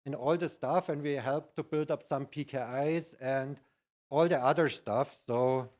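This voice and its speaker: A middle-aged or older man with a Bavarian German accent, giving a conference presentation in halting, non-native English. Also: medium pitch, darkish tone. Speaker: conference speaker, IT practitioner.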